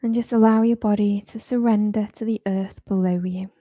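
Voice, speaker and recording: A woman teaching yoga nidra, leading a guided relaxation in a soft, soothing, informal way. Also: mid-range voice, steady tone, normal speed, no room sound.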